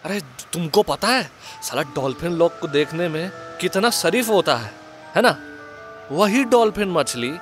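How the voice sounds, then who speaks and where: A man's voice: on the high side, expressive, measured pace, fairly bright tone, faint music behind. A man playing a crude, wisecracking sidekick in a Hindi horror-comedy audio drama, with a colloquial eastern Hindi belt accent and a teasing, foul-mouthed manner. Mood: gossipy, mock-shocked. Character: Prasad, crude wisecracking goofy sidekick to a ghost investigator.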